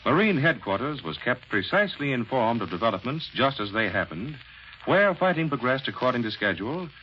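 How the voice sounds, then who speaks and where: Brief: male American vintage radio announcer, lively pitch swings, forceful scripted delivery, noisy old broadcast sound. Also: highs cut off. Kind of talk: 1940s scripted radio announcing and sponsor read. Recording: noisy, band-limited 1940s radio broadcast.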